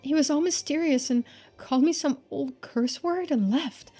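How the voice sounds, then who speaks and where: Woman voicing an earnest, urgent high-voiced young woman. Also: moderate pace, mellow, faint music behind, lightly acted.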